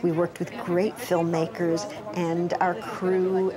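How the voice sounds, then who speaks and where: A woman's voice: on the low side, flat delivery, slow pace, crowd noise. A woman speaking confidently about the filmmaking in an interview.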